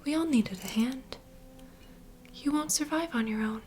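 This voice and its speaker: A woman playing a nurturing, gossipy vampire bartender in an ASMR fantasy roleplay, speaking in a soft, breathy, confiding whisper. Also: high voice, measured pace, a little hiss or hum. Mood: gentle, reassuring. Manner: intimate, confiding, gentle.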